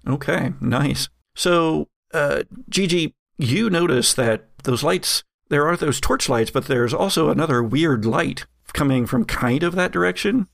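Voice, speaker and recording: A man narrating a tabletop game as game master in a casual, improvised, halting way. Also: fairly high pitch, gravelly, a bit bright, no echo, clean studio sound. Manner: halting, searching for words.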